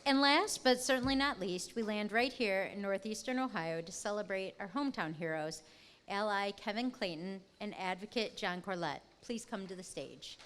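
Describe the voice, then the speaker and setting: A woman's voice: mid-range voice, animated, moderate pace, a bit bright. A woman hosting an awards ceremony with a scripted stage presentation. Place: large event hall, on stage. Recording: long hall reverb.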